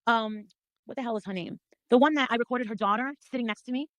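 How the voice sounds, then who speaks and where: Rapid-fire, bright-voiced woman, blunt storyteller. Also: a little high, dry recording. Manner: blunt, confrontational, animated storyteller, swears freely. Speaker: guest telling her story. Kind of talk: casual gossipy chat.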